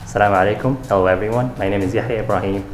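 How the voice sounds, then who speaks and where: A man's voice: fairly low pitch, very fast, roomy sound, faint music behind. A young man from Saudi Arabia, answering interview questions in a casual, earnest way, with fillers and restarts. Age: young adult. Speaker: interview guest, fashion designer.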